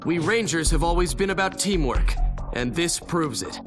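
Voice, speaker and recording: Man acting an earnest, animated game hero. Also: fairly high pitch, normal speed, close to an everyday voice. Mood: grave, earnest. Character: earnest heroic male ranger from the future. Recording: very loud music bed.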